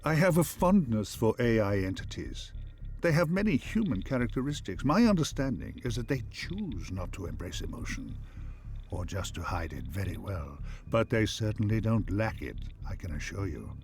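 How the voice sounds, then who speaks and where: A man playing a calm, wise, once-human artificial intelligence for a game, speaking in measured, formal phrases. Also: lowish voice, slight background noise. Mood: calm, reassuring. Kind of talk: scripted game companion voice acting.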